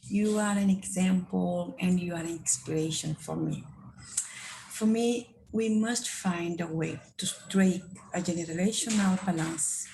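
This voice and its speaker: Woman from Cape Verde, very slow, mellow and low, heartfelt. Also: gravelly, slight background noise, low-quality recording.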